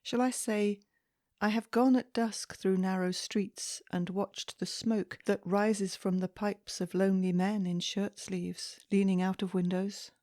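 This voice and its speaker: A woman reading poetry aloud in a soft, calming, measured way meant for relaxation, with deliberate phrasing and pauses. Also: medium pitch, no room sound, studio quality. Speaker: poetry reader.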